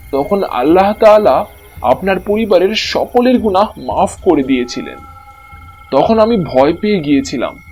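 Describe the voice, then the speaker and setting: A man playing a devil disguised as a helpful young man in a cartoon story, speaking in a measured, explanatory way. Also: high voice, darkish tone, breathy edge, full character voice.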